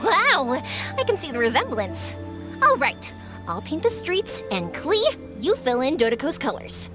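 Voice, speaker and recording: A woman's voice: high voice, huge pitch swings, measured pace, over music, full character voice. A woman voicing an upbeat, encouraging young shopkeeper with a big-sister way of talking. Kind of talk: game voice acting.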